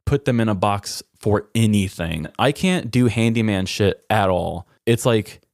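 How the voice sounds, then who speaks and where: A man's voice: fairly low pitch, a little slow, gravelly, darkish tone, no echo, clean studio sound. A man hosting a podcast, talking in a candid, reflective and self-deprecating way. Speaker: podcast host. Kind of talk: candid personal conversation.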